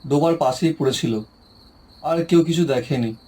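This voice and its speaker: A man voicing a grieving village man who speaks softly and respectfully about his lost father. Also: on the high side, normal speed, lightly acted. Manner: soft, heavy-hearted, respectful. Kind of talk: Bengali cartoon voice acting.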